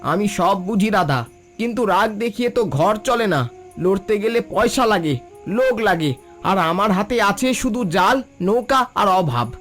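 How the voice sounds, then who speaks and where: A man's voice: high-pitched, animated, unhurried, fairly bright tone, soft background music. A man voicing a poor, long-suffering fisherman in a cartoon, earnest and dignified. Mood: weary resolve. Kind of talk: scripted cartoon dialogue.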